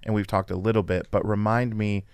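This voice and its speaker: A man's voice: fairly low pitch, flat delivery, a little fast, a little mellow, clear, resonant tone, no room sound. A man hosting a podcast, chatting casually and laughing easily with his guests. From California family roots. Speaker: podcast host. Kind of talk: casual interview chat.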